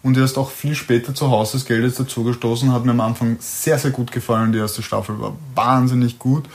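A man's voice: mid-range voice, level tone, fairly quick. A man with an Austrian German accent co-hosting an unscripted chat about films and series, explaining his opinions in an informal way. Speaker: podcast co-host.

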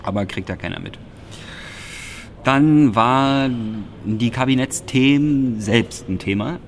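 A man's voice: medium pitch, slow pace, slight background noise. A man from Berlin co-hosting a podcast, recapping press conferences in a wry, sarcastic, rambling way. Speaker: podcast co-host.

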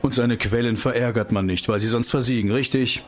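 A man's voice: mid-range voice, steady tone, a little fast, close to an everyday voice. A man playing an ambitious investigator in a radio play, politely combative, with pointed and sardonic questioning. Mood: sardonic, probing.

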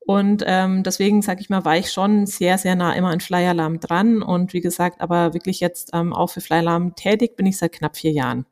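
A woman in her forties from Franconia with a Franconian German accent, a company executive answering interview questions at length, often pausing on fillers. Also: fairly low pitch, fairly even intonation, a little mellow. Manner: talks at length with many hesitation fillers. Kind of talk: business interview.

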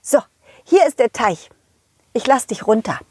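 A woman's voice: fairly high pitch, animated, unhurried, darkish tone, slightly rough, dry recording. A woman voicing a confident, loyal male dog who tells his adventures playfully in a children's audio play. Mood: helpful, caring. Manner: playful, addresses the children directly.

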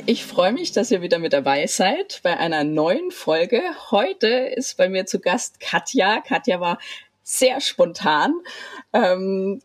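A woman's voice: mid-range voice, husky, no room sound. A woman hosting a podcast, interviewing in a casual, welcoming and encouraging way. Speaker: podcast host.

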